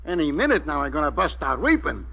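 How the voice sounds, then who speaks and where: An actor playing a sarcastic, slangy tough police inspector in an old-time radio mystery. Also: male voice, fairly high pitch, sing-song, fast, vocal fry, exaggerated voice. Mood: brash, wisecracking. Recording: dull vintage radio recording.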